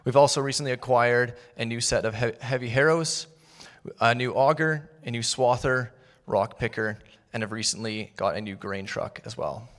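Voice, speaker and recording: A young man giving a casual, slightly halting class presentation about farm teams and equipment. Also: mid-range voice, a bit bright, in a large hall. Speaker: student presenter.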